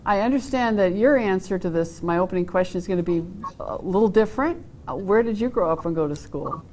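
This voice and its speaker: A woman's voice: mid-range voice, lively intonation, a little fast, warm tone, slight background noise. A woman hosting an interview, asking questions in an easygoing, conversational way. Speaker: host.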